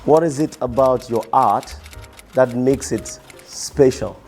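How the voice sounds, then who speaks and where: A young man, a musician answering quick-fire interview questions in a tired, strained voice, halting and repeating his words. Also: mid-range voice, darkish tone, soft background music. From Cameroon. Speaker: musician guest.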